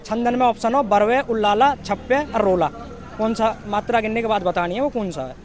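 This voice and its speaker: Young man, western Uttar Pradesh accent, very high pitch, halting recall, informal interview. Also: background noise. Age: young adult. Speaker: exam candidate being interviewed. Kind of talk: informal street interview recalling exam questions.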